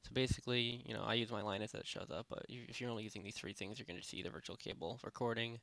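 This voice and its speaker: Low, creaky-voiced man giving a tutorial. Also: steady tone, racing, a bit bright. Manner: rambling, informal, repeats "basically" and "you know". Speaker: tutorial presenter. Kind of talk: casual unscripted software tutorial narration. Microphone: close headset microphone.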